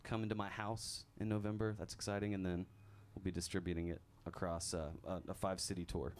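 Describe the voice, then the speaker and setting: A man's voice: sits low, fairly quick, slight background noise. A male musician chatting casually in a live radio studio interview, reeling off his band members with an easy chuckle. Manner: relaxed, chuckling, reeling off names. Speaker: musician and bandleader. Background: band playing.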